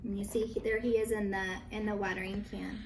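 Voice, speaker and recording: A woman teacher reading a children's story aloud with animated emphasis, dramatic pauses and brief asides to her young listeners. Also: mid-range voice, a little hiss or hum.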